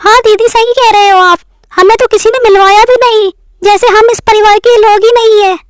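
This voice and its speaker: A woman's voice: extremely high pitch, steady tone, moderate pace. A woman voicing a chatty young female relative in a cartoon family story, with a familiar manner. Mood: sociable.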